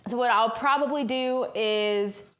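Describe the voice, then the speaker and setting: Woman, cooking stream host, slightly high-pitched, rambling and chatty, home kitchen. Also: a little slow, fairly bright tone. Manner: chatty, rambling, many ums and uhs. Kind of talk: casual livestream chat while cooking.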